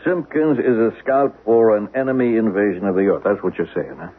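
A man's voice: fairly low pitch, fairly quick, narrow, tinny audio, lightly acted. An actor playing a patient, skeptical policeman in a 1970s radio drama, matter-of-fact and folksy as he humors someone. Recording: noisy, band-limited 1970s radio broadcast.

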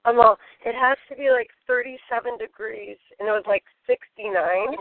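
A hoarse woman who is losing her voice, chatting in a rambling, easygoing way from inside her parked car. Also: fairly high pitch, moderate pace, no room sound. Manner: chatty, rambling, laughs easily. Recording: dry narrow phone line.

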